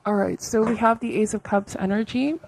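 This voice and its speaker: Female tarot host, mid-pitched voice, conversational and reflective, offering advice straight to the viewer. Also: fairly even intonation, brisk, gravelly, darkish tone, slight background noise, low-quality recording. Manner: reflective, advisory, seeks agreement with tags like okay and right.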